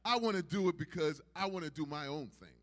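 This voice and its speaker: New preacher guy, kinda high voice, hammering his points with repetition, echo of a big church. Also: lively intonation, quick pace, a bit bright. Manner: emphatic, repetitive preaching cadence. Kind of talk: sermon. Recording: long hall reverb.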